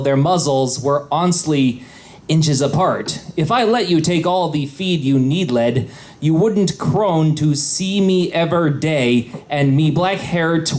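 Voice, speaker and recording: Slow, higher-voiced man reading garbled prose. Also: a little mellow. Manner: deliberately pronounces every misspelling. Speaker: panel reader. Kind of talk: comic read-aloud of garbled fanfiction.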